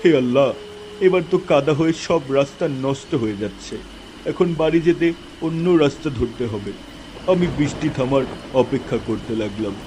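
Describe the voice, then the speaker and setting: A man's voice: medium pitch, animated, normal speed, warm tone, slight background noise, poor audio. A man narrating a scripted horror story in the first person, in a dramatic style. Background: music, night insects.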